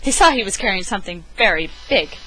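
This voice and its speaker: A woman's voice: medium pitch, animated, fast talker, sharp tone, slightly rough, low-quality recording. A woman reading a children's story aloud in a dramatic narrating style. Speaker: storybook reader. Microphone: webcam.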